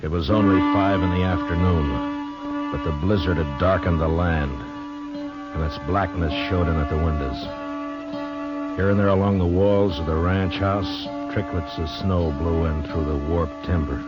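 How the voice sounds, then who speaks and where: Frontier lawman played by a man, very deep voice, American, measured and grave, 1950s radio western, dull recording. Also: natural voice. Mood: grave narrating. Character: steady, grim frontier marshal narrating his own story. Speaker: lead actor and narrator. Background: music.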